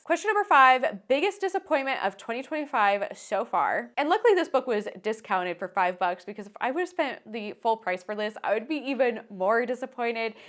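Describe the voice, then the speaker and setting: A woman's voice: high voice, a bit bright. A woman hosting a casual book vlog, talking to viewers in an enthusiastic, emphatic way with stretched-out stressed words. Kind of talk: casual chatty to-camera book review.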